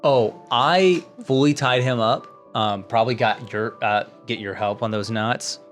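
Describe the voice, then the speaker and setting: A man banters casually as a player in a tabletop roleplaying game. His pitch is average and his delivery is conversational. Music runs behind his voice. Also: measured pace. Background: background music.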